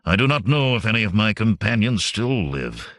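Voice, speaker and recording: A blunt young warrior of a fantasy audiobook, acted by a male narrator, speaks low and with feeling. His words are grave and formal, with ardent swings in tone and a stern, honest temper. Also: very animated, normal speed, a bit bright, dry recording, clean studio sound. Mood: grim, resolute. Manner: grave, formal, declarative speech.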